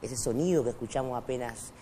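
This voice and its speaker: A middle-aged man whose first language is Quechua talks as a studio guest, hesitant and full of fillers and restarts. His voice sits at an ordinary pitch for a man. A faint hum of studio noise runs under his lapel mic. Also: quick pace, dark tone, rough recording. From Argentina, raised in Bolivia. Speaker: guest writer. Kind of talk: casual interview talk.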